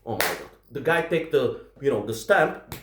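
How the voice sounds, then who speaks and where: A man's voice: mid-range voice, animated, normal speed, roomy sound, noisy background. A man from the Czech Republic with a Czech accent, doing stand-up and animatedly acting out the people in his story. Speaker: stand-up comedian.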